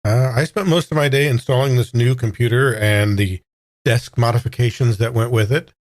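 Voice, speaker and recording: Male podcast player, casual conversational tone, medium pitch, very little room sound. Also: normal speed, no echo, studio quality. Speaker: podcast cast member and tabletop player. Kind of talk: casual chat.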